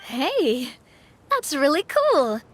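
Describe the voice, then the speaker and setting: A woman's voice: sits high, huge pitch swings, extremely slow, slight background noise, full character voice. A woman playing a confident, friendly young monster hunter in an anime game, upbeat and encouraging.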